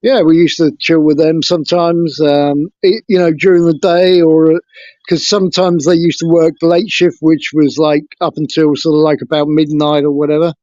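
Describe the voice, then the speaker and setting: A man's voice: fairly high pitch, level tone, normal speed, a little mellow, no echo, dull audio. A man from England, talking frankly and hesitantly about his life as an interview guest over a video call. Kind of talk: casual interview talk.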